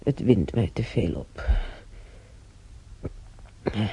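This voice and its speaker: A frail older woman in a hospital radio drama, very raspy and slow. She is weary and in pain, stumbling over repeated words and sighing in a soft, dark voice. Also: very deep voice, lively intonation, full character voice, rough recording. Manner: halting, repeating words, moaning sighs. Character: frail old female hospital patient, weary, in pain, confused. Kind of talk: radio play acting.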